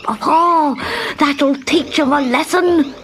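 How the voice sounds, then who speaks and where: A woman voicing a timid, excitable little male adventurer in a children's storybook. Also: sits high, slow, pitched above the performer's own voice. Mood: gleeful.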